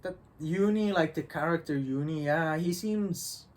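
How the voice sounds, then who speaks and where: A man co-hosting a casual review discussion, talking haltingly, repeating himself and trailing off mid-thought. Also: a little high. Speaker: visual novel reviewer and co-host.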